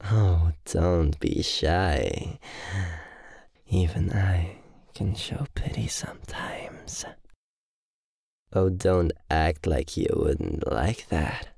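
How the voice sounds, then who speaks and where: A man's voice: deep voice, very slow, gravelly, darkish tone, breathy edge, no room sound, studio quality, heavily acted. A man playing a cruel, smug young demon bully who drawls tauntingly in an audio roleplay. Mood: mocking, smug. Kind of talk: ASMR audio roleplay acting.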